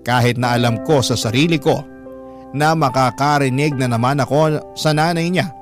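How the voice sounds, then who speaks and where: Casting: Filipino man as radio drama narrator, mid-pitched, reading a personal story over prominent music. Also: moderate pace.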